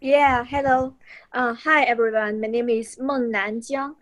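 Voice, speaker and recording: A woman from China, introducing herself on a video call in a relaxed way. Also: on the high side, unhurried, slight background noise. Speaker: environmental consultant.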